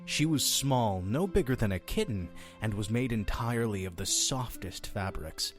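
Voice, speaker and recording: Mysterious inn's keeper, male, played by an actor: chatty, theatrical, highly animated delivery for audio drama. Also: normal speed, soft background music, lightly acted. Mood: gentle storytelling. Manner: effusive, talking over his guest.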